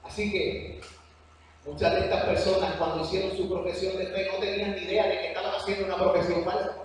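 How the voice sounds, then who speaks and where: A Cuban man preaches in a high voice, dwelling on each weighty phrase with earnest emphasis. He is heard from a distance across a big church hall, his words ringing in a long echo over faint room ambience. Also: little pitch movement, fairly quick. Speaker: preacher teaching a church class. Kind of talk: sermon. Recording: echoey hall from far off.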